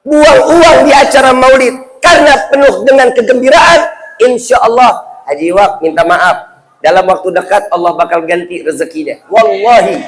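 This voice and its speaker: A man's voice: high-pitched, normal speed, poor audio. A man with a Jakarta Indonesian accent preaching Islam to an audience in a playful, colloquial way, often putting questions to his listeners. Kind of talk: humorous sermon to a live audience.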